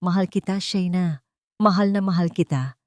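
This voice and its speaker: Woman reading as a tender, devoted man, low-voiced. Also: moderate pace, a little mellow, no echo, studio quality, lightly acted. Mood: loving, earnest. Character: devoted, gentle man in love, supportive companion. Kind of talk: audiobook character dialogue.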